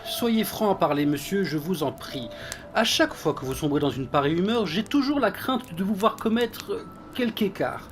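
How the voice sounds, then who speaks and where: An actor playing a fussy, devoted butler in an audio drama, speaking in a formal, wordy, deferential way. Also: male voice, high-pitched, expressive, moderate pace, over music. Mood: concerned, prim.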